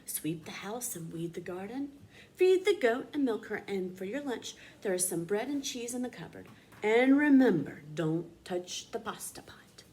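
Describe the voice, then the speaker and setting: A woman voicing a firm, calm old village woman in a storybook, giving measured, stern instructions. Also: medium pitch, lightly acted. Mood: instructing.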